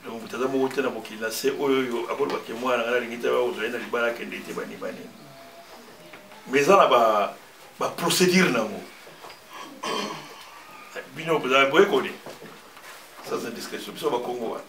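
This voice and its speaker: A man's voice: medium pitch, a little fast, vocal fry, pauses often, fairly bright tone. Rambling man from Kinshasa, far off. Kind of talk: advice at a family gathering. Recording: echoey room, distant mic, background noise.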